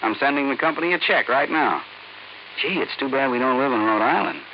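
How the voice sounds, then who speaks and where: An actor playing a flustered but agreeable sergeant husband in a vintage radio domestic sketch, folksy and hesitant. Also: male voice, medium pitch, animated, brisk, lightly acted. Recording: muffled old radio recording, faint hiss.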